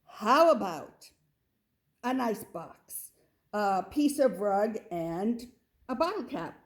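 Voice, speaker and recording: A woman's voice: medium pitch, big pitch swings, takes it slowly. A woman voicing a stern, disapproving father goat from a children's picture book, emphatic and grumbling. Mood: offering.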